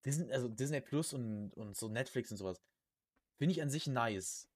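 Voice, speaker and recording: A young man co-hosting a casual podcast chat, slangy and jokey, often repeating words and phrases in quick bursts. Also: mid-range voice, lively intonation, darkish tone. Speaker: podcast co-host.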